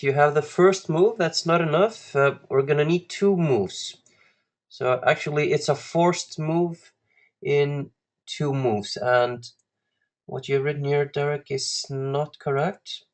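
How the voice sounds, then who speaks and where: Slow, halting Icelandic man coaching. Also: on the high side. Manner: halting, deliberate, with long pauses. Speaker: guest chess coach. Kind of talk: live online lesson, talking through puzzles to students.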